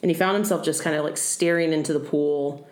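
A young woman with a low voice casually tells folklore on a podcast, joking as she goes. She sounds echoey, recorded in a room at home. She keeps the tone loose and conversational. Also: fairly even intonation, brisk. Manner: laughing, joking storyteller. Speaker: podcast co-host.

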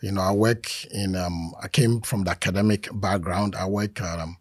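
A man's voice: sits low, fairly even intonation, normal speed, no room sound, clean studio sound. A man from Ghana, a civil engineer, describing his path in an earnest, upbeat way with some fillers. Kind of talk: casual interview chat.

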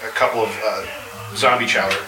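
A man speaking haltingly and giving compliments during a casual live panel discussion. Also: on the low side, fairly even intonation. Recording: echoey, distant, noisy live room.

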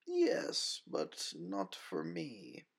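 A man's voice: huge pitch swings, extremely slow, creaky, breathy edge, heavily acted. Man voicing a mocking Russian-accented monster woman. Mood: cool disdain. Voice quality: deep female voice, put on by a man. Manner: pretentious, taunting. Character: disdainful, mocking blonde female monster, cruel and menacing.

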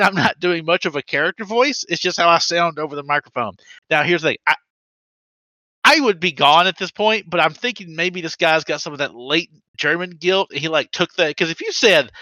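A man's voice: fairly high pitch, dry recording, low-quality recording. A man co-hosting a podcast, reading out listener stories in casual chat with sarcastic asides and frequent laughs. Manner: animated, sarcastic, laughs often.

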